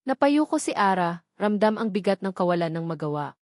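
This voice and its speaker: A woman's voice: medium pitch, sing-song, brisk, a little mellow, dry recording, clean studio sound. A woman reading a scripted romantic drama story as its narrator.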